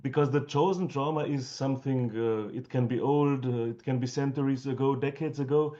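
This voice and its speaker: A man from Austria asks a careful, polite question over a video call, stumbling and repeating words. His voice sounds mellow and dark, and the line is muffled and lo-fi. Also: medium pitch, steady tone, brisk. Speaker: audience member asking a question, psychotherapist. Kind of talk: question in an online Q&A.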